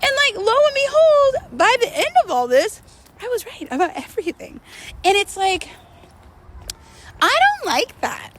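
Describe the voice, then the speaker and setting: A woman's voice: very high voice, huge pitch swings, unhurried, long pauses, noisy background. A woman talking casually and confessionally to her viewers, in a candid, rambling, emphatic way with slangy wording. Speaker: host of a personal vlog series.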